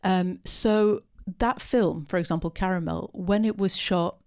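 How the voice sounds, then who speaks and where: Woman, post-screening panelist, low gravelly voice, hesitant and thoughtful. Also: measured pace. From part Syrian. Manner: hesitant, many ums and restarts. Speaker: panelist at a post-film discussion. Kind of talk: unscripted talk answering audience questions.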